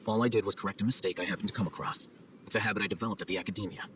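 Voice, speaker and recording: Man voicing an aloof, low-voiced, quick-talking scholar. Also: steady tone, distant mic, background noise, natural voice. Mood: dismissive. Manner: dry, detached, condescending. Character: aloof, self-assured male scholar, coolly sardonic. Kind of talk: video game cutscene voice acting.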